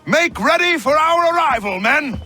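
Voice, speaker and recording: An actor playing a brusque, authoritative sea captain who bellows orders in a video game cutscene. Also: male voice, extremely high pitch, animated, moderate pace, heavily acted. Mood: urgent, commanding. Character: commanding male sailing ship captain bellowing orders. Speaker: ship captain. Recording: loud crowd and noise behind.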